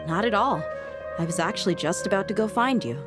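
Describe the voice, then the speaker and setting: A woman playing a brash, casual mercenary in an anime game, speaking confidently in a blunt, informal, expressive way. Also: fairly quick, over loud music, close to an everyday voice. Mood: teasing. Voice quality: low, confident.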